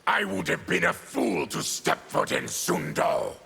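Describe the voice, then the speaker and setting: An actor voicing a proud, embittered monster warrior in a video game, with a gravelly growl and a grave, formal, forceful manner. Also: male voice, on the high side, animated, a little slow, heavily acted. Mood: bitter. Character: fierce, embittered male monster warrior, proud former army tribune. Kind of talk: video game character dialogue.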